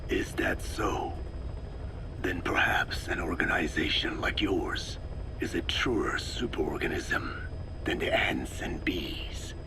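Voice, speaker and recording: An actor playing a very old, wise Navajo scientist in a video game, solemn and lecturing, with a raspy, breathy croak. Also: male voice, deep voice, lively intonation, whispered, exaggerated voice. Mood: calm lecturing. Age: older. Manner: slow, deliberate, lecturing. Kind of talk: scripted dramatic game dialogue.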